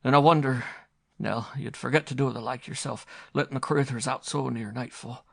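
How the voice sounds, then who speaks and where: Man putting on a distraught Irish peasant mother, older widow, raised wailing voice, gravelly edge, storybook reading. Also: mid-range voice, steady tone, normal speed, fairly bright tone, voice pushed up. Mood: grieving, pleading.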